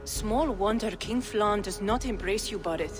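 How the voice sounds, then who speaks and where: A woman's voice: medium pitch, moderate pace, close to an everyday voice. An actress playing a blunt, sardonic noblewoman in a video game, speaking in formal phrases. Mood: sardonic, urging. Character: blunt, sardonic noblewoman scolding a Viking king. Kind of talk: dramatic video game acting. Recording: long hall reverb, music behind.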